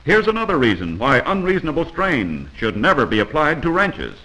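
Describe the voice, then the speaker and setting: A man's voice: fairly high pitch, wildly swooping pitch, fairly quick, pushed and bright, rough recording. An American man narrating a scripted 1940s instructional film in a didactic, admonishing manner, heard on an old film soundtrack.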